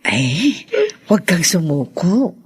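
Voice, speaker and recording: An actress playing a consoling friend who urges hope in a Filipino radio drama. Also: female voice, lowish voice, sing-song, takes it slowly, slightly rough, heavily acted.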